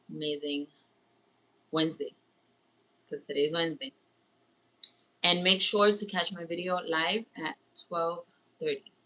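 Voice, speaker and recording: Bright, chatty New woman. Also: on the low side. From born in Ecuador, raised in New York. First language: Spanish. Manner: chatty, rambling, slips into Spanish phrases. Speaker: livestream host, crafts vlogger. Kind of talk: casual livestream chat. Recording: slightly dull, lo-fi stream audio.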